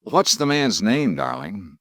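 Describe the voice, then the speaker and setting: A hard-boiled male insurance detective narrates and questions people in a clipped, wry radio-drama manner. His voice sits in a middle pitch but moves around a lot. The sound is dry and close. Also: very animated, takes it slowly, fairly bright tone, no room sound, heavily acted. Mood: brisk. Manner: clipped, dry, wisecracking delivery. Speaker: insurance investigator narrating his own case. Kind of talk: 1950s radio drama acting.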